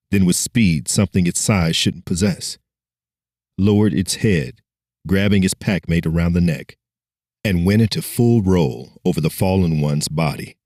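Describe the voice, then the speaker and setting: A man's voice: low voice, darkish tone, dry recording, studio quality. A man giving a dramatic scripted narration as an audiobook storyteller.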